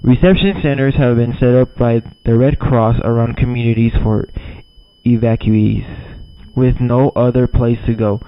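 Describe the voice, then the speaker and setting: A dull, lo-fi recording of a man acting as a male emergency alert announcer. He has a mid-pitched voice and reads a scripted warning in a grave, formal, deliberate manner. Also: fairly even intonation, dry recording, close to an everyday voice. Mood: urgent, instructive. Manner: formal, deliberate, occasional stumbles over words. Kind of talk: scripted emergency broadcast reading. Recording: dull lo-fi audio.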